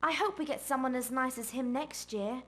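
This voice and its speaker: A young woman voicing an eager, bossy schoolgirl who asks quick, chatty questions. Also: high voice, noisy background, natural voice. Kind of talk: video game voice acting. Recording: music playing underneath.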